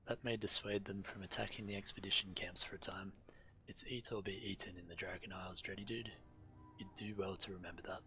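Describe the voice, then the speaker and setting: A man's voice: deep voice, fairly even intonation, fairly quick, sharp tone, poor audio. A man streaming a video game, reading quest text aloud and adding casual commentary. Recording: game music playing behind. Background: video game music.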